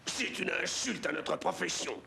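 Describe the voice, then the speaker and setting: An actor playing a pompous, skeptical male physician in a cartoon, easily scandalized, with a creaky voice. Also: high-pitched, lively intonation, normal speed, pushed and bright, slight background noise, full character voice. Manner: pompous, indignant. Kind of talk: animated cartoon dialogue, French dub. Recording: music behind the voice.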